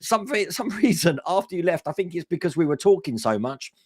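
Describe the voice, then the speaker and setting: A man hosting a casual live stream, his voice hoarse and worn, chatting enthusiastically with lots of fillers. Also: a little high, very animated, fairly quick, a little mellow, dry recording, studio quality. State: hoarse, voice going.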